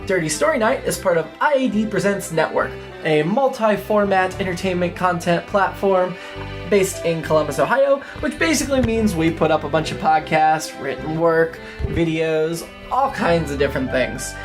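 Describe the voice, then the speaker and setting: A man's voice: sits high, normal speed. A man hosting a comedy podcast, giving a scripted introduction and promotion in a plain presenting style. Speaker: podcast host and TV reviewer. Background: guitar music.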